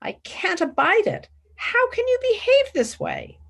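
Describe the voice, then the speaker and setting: A woman playing an earnest, principled young philosopher and wartime nurse in a radio play, with measured, emphatic delivery. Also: very high-pitched, huge pitch swings, vocal fry, a bit bright, exaggerated voice. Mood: indignant. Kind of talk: dramatic radio play acting.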